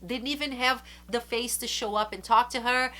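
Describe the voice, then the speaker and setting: Chatty congested woman vlogger, bright voice. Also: a little high, fairly even intonation. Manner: earnest, chatty, slows to stress words. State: congested from a flu. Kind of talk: casual advice to camera.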